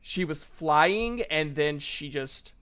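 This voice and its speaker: A man with a slightly high voice runs a tabletop game. He narrates off the cuff at a slow pace, halting often to think as he describes scenes and rules. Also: lively intonation, a bit bright, clear, resonant tone. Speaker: game master.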